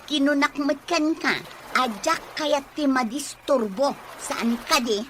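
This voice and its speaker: A woman's voice: high voice, slow pace, fairly bright tone. An actress with a Filipino Ilocano accent playing a fed-up, nagging wife and mother in a radio drama. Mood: angry, fed up. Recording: noisy radio drama recording.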